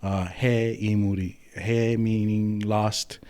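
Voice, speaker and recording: A podcast guest from Hawaii tells his family's story in an easygoing, meandering way, full of pauses and "so"s. His low voice stays level and keeps the same pitch throughout. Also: little pitch movement, dark tone. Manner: easygoing, rambling, with frequent um and so. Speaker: podcast guest, clothing company owner. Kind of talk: casual storytelling about family history.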